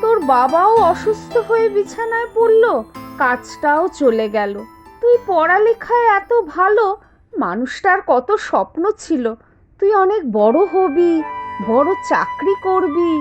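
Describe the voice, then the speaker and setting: A woman voicing an anxious, caring mother in an animated story, with a worried, plaintive way of speaking. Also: very high voice, unhurried, faint music behind, exaggerated voice. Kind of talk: Bengali animated story dialogue.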